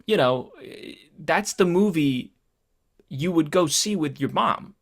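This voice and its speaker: A man co-hosting a podcast in casual chat, halting and repeating words as he thinks aloud. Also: on the high side, lively intonation, gravelly, husky. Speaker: podcast co-host. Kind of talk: casual movie discussion.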